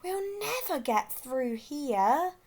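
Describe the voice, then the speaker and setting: A timid, worrying male penguin as a woman storyteller acts him out. The pitch sits high and jumps about, with a fretful, reluctant manner suited to a children's storybook. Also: very animated, takes it slowly, background noise, heavily acted. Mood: alarmed. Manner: fretful, sighing complaints. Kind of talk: children's storybook read-aloud.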